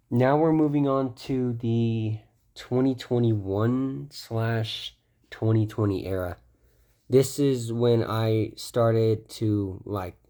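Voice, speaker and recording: A man's voice: fairly low pitch, darkish tone. Rambling young man, slightly stuffy voice. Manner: rambling, with many ums and self-corrections. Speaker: toy collector on video. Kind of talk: casual show-and-tell of a collection.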